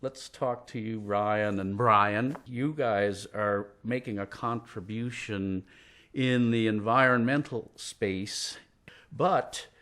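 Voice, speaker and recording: A man hosting a podcast interview, asking guests measured, prompting questions. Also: fairly low pitch, fairly bright tone.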